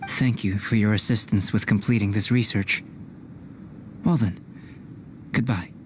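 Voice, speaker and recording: An actor playing a calm, scholarly young alchemist in a video game, speaking in a measured, formal, detached way. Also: male voice, lowish voice, lightly acted. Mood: calm, assured. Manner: measured, formal, analytical delivery. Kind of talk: scripted video game cutscene acting. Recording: clear noise behind the voice.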